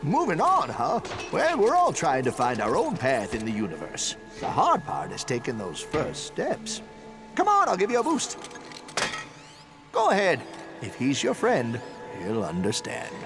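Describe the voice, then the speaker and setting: Creaky, swooping eccentric old plumber. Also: male voice, medium pitch, huge pitch swings, measured pace, music in the background. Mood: cheerful, puzzled. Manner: folksy, rambling, colloquial. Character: eccentric folksy old male plumber, cheerful and rambling. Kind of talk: video game cutscene acting.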